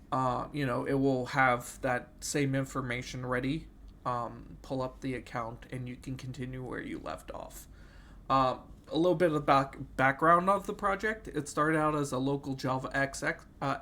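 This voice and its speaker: A man's voice: fairly high pitch, fairly bright tone. Sick young man presenting, nasal and hesitant. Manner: hesitant, many fillers. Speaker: student presenting an assignment. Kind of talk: casual class presentation.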